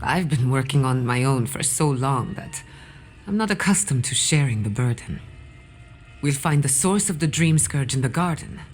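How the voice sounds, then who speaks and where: A woman's voice: very deep voice, animated, normal speed, a little mellow, faint music behind. A woman playing an earnest, resolute researcher in a video game cutscene. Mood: earnest, troubled.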